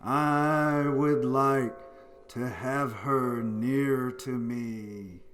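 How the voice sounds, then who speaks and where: Sham ghost played by a man for radio play: extremely slow, eerie, mid-pitched, drawn-out summons. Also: steady tone, over loud music. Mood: eerie, beckoning. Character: sham seance ghost, a male accomplice putting on spirit voice.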